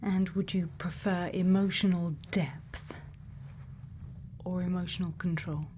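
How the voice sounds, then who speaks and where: A woman doing an ASMR roleplay as a gentle scientist, speaking in a breathy whisper and soft murmur, slow and deliberate with long pauses. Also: on the low side, fairly even intonation, a little hiss or hum. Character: gentle scientist questioning a robot friend. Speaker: ASMR performer.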